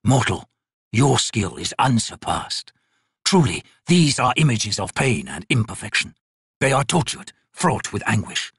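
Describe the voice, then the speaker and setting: A man voicing an ancient, sickly male god, speaking slowly and gravely with a sneer. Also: mid-range voice, sing-song, fairly bright tone, no echo, clean studio sound, exaggerated voice. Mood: mocking.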